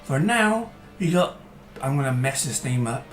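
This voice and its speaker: A casual gaming host talks loosely over gameplay, restarting sentences and filling pauses with "uh" as his voice wears down. The recording rings in a roomy space, with faint music under the speech. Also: medium pitch, lively intonation. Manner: chatty and rambling, with hesitant fillers and restarts. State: voice tiring.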